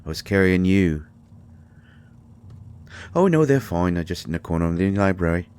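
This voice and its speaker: A man's voice: sits low, big pitch swings, normal speed, darkish tone, clean tone. A man playing a grumpy, secretive fairy healer in an intimate roleplay audio, hesitant and exasperated with wry sarcasm. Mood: fussing, reassuring.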